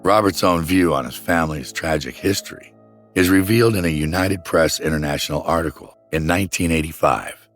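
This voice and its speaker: A man with a low, deep voice narrates a true crime story from a script. His delivery is measured and dramatic, like a documentary storyteller, with music playing under the voice. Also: a bit bright. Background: background music.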